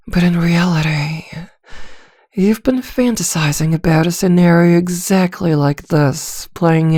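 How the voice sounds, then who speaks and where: A woman playing an arrogant, seductive vampire villainess in an intimate ASMR roleplay, soft-voiced and teasing, with a commanding, hypnotic manner and frequent drops toward a whisper. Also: fairly low pitch, dry recording, clean studio sound. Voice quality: soft, often dropping into whispers.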